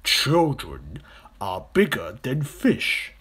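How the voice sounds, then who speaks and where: Man voicing a slow, breathy, greedy giant crocodile. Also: mid-range voice, wildly swooping pitch, fairly bright tone, pitched above the performer's own voice, full character voice. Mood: boastful. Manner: slow, drawn-out, relishing delivery with breathy hisses. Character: enormous greedy boastful crocodile, sly and menacing. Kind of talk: children's storybook character voice.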